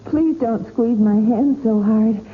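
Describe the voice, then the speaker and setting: High-voiced, coy young lady. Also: slow, phone-quality sound. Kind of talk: 1940s radio sitcom acting. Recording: thin, hissy 1940s radio broadcast.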